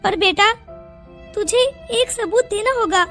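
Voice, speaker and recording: A woman's voice: very high voice, measured pace, fairly bright tone, full character voice. A woman voicing a concerned, coaxing middle-aged mother-in-law in a melodramatic Hindi cartoon story. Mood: concerned, doubtful. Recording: music under the voice.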